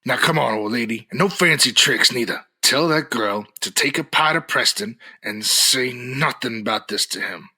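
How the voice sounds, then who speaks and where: A man playing a crude, bullying Gold Rush outlaw with a rough voice and threatening, rustic speech, in old-time radio adventure style. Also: mid-range voice, normal speed, few pauses, sharp tone, full character voice. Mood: menacing. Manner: threatening, folksy rustic grammar.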